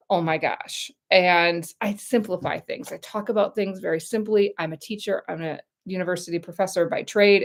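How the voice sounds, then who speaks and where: An American woman in middle age hosts a loose, chatty livestream, talking straight to her viewers. Her voice has a gravelly, fried edge. Her pitch sits at an ordinary middle height. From United States. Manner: chatty, rambling, talks to viewers directly. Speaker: YouTube channel host. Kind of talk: casual livestream chat.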